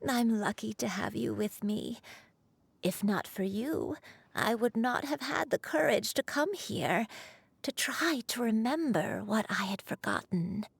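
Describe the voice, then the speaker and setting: An actress playing a frail, gentle old grandmother in a video game, wistful and humble, with a fragile voice. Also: fairly high pitch, expressive, no echo, exaggerated voice. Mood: grateful, gentle. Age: older. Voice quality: creaky, fragile elderly voice. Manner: slow, wistful, humbly apologetic.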